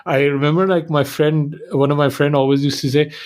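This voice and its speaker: A man's voice: on the high side, steady tone, fairly quick, a little mellow. A man hosting a podcast in casual film discussion, hesitant, restarting sentences and filling them with 'like'. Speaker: podcast host.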